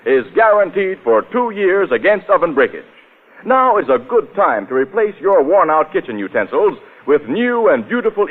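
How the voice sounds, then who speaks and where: Male announcer for vintage radio adverts, high animated pitch, polished sales delivery, thin old broadcast sound. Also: narrow, tinny audio. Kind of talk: scripted 1940s radio advertisement.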